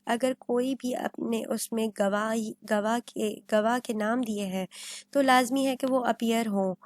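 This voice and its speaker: A woman speaks in a fairly high voice, in the style of a legal lecture. She explains point by point, mixing in English terms and restating them in everyday words. The recording is dry, with very little room sound. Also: a little mellow, no room sound. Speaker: law lecturer.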